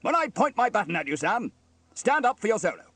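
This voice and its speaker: A man's voice: high-pitched, very animated, fairly quick, gravelly, a bit bright, no room sound, pitched above the performer's own voice, exaggerated voice. A man voicing a stern, bossy fire station officer in a children's story, barking orders. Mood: stern, commanding.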